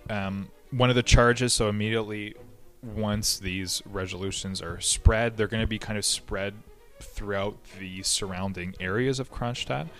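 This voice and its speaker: Man, very low voice, casual history podcast host, lots of ums and restarts, faint background music. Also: fairly even intonation, a little slow, a bit bright. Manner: conversational, many fillers and restarts. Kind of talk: casual explanatory history talk.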